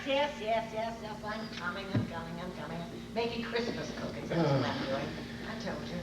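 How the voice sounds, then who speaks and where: A woman's voice: medium pitch, animated, fairly quick, close to an everyday voice. A woman playing a bossy, exasperated wife who repeats herself insistently, in old-time radio comedy style on stage. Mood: flustered. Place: convention stage before an audience. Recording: echoey noisy hall, distant microphone.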